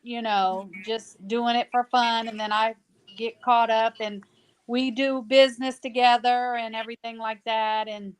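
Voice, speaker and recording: An easygoing woman from Ohio talks through her stage role in a casual podcast chat. Her voice sits a little high, and she rambles and laughs as she goes. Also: little pitch movement, unhurried, smooth, poor audio. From Ohio, United States. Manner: laughing, loose storytelling. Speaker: podcast guest, cast member.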